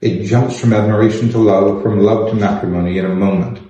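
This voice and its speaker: A man voicing a proud, reserved young gentleman with a formal, dry manner in a storybook. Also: lowish voice, steady tone, a little fast, natural voice. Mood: aloof, composed. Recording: echoey room, slightly dull sound.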